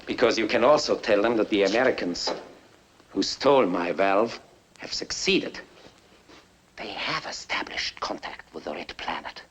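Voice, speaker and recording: An actor playing a proud, bitter fugitive scientist with a scornful, theatrical manner, in the style of a 1950s film. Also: male voice, on the low side, expressive, moderate pace, a bit bright. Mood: bitter, taunting. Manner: theatrical, scornful, self-important. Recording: noisy old 1950s film soundtrack.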